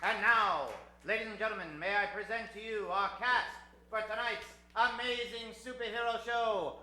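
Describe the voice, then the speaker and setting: A man's voice: high voice, steady tone, normal speed, sharp tone, some chatter behind, a little hiss or hum. A man playing a bombastic, theatrical old-time radio announcer on a live superhero comedy serial. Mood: grandiose. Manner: theatrical, emphatic, grandiose. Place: theater stage before a studio audience. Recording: roomy live stage with music.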